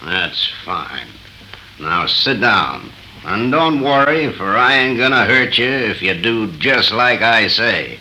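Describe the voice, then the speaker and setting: Forceful, slow-talking villain. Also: male voice, fairly low pitch, big pitch swings, slight background noise, full character voice, rough recording. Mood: menacing, commanding. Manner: theatrical, slow, intense. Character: menacing, ruthless gunman turned terrified, guilt-haunted wretch. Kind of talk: 1930s radio horror acting. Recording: old 1930s radio transcription recording.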